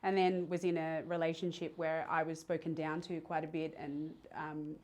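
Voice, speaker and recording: Lower-voiced Australian woman, candid chat. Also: flat delivery, moderate pace, darkish tone. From Sydney, Australia. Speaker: podcast guest, entrepreneur. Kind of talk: casual conversational interview.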